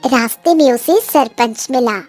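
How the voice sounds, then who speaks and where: High-voiced, unhurried woman storyteller. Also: darkish tone, faint music behind. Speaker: narrator. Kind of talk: scripted children's story narration.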